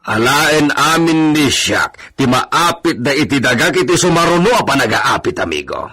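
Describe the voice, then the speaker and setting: A man's voice: mid-range voice, sing-song, normal speed, bright, forceful tone, slightly rough, heavily acted. An Ilocano-accented man acting a haughty, vindictive hacienda owner in a radio drama, barking and ordering people about imperiously. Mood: bitter, vindictive. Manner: imperious, barks orders at servants. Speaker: actor in a radio comedy drama.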